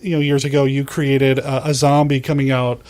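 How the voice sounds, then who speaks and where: A man's voice: medium pitch, level tone, moderate pace, a little mellow, no room sound. A man hosting a podcast, talking in a casual, conversational style. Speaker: podcast host. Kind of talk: solo show intro and casual interview chat.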